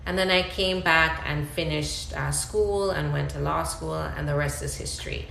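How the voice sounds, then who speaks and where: A woman's voice: low-pitched, steady tone, moderate pace, vocal fry, a bit bright, reverberant, a little hiss or hum, poor audio. A woman with a Jamaican accent, an immigration attorney answering questions in a live-stream Q&A, explaining carefully with frequent ums and restarts.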